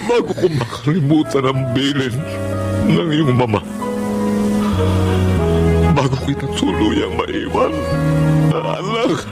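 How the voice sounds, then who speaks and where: An actor playing an ailing, forgetful aging father in a Filipino radio drama, gravelly, tender and halting. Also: mid-range voice, animated. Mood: sorrowful, tender. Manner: halting, melodramatic. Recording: loud music over the voice.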